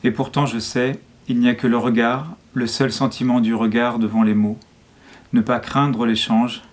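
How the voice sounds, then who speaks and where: A man reading a poem in a measured, solemn way, phrase by short phrase. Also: medium pitch, flat delivery. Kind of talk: poetry reading.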